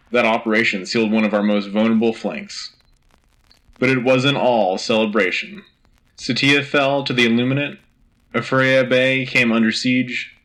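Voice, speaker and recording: A man's voice: medium pitch, a bit bright, lightly acted, poor audio. A man playing an earnest, rousing war news anchor on a sci-fi radio show, with dramatic, punchy delivery. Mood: grave, resolute.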